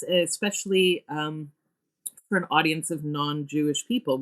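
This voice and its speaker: A woman speaking as an interview guest about her theatre work, earnest and emphatic as she thinks aloud. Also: sits low. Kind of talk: reflective interview talk.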